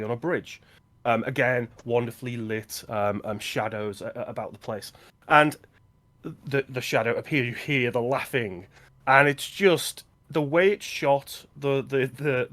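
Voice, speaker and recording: Male film podcast co-host, mid-pitched, casual discussion style, analytical and opinionated. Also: fairly bright tone.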